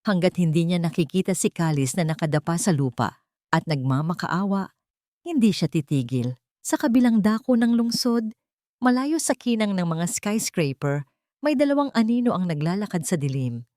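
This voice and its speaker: A woman narrates a dramatic audio story from a script. Her voice sits low, and the recording is dry and close, with no room around it. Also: sing-song, normal speed, dark tone, dry recording.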